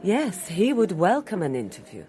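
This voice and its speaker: Low-voiced, poised Lebanese activist woman. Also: huge pitch swings, warm tone. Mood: cool, assured. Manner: assured, formal, deliberate. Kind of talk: radio drama acting.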